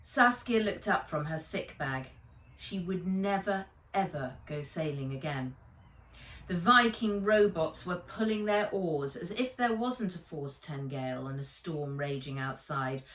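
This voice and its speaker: A woman reading a children's adventure story aloud in an expressive, deliberate storytelling manner. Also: on the low side. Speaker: author reading own book aloud. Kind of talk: dramatized children's audiobook narration. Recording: roomy echo, distant microphone.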